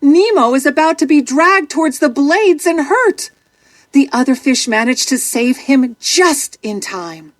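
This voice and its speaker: A woman narrating a scripted children's story in an expressive, dramatic way. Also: high voice, measured pace, no echo.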